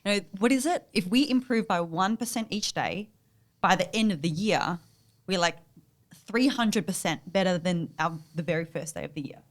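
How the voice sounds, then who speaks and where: A woman's voice: lowish voice, moderate pace. Emphatic woman coach. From Australia. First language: Vietnamese. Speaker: guest, business coach. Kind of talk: motivational interview answers.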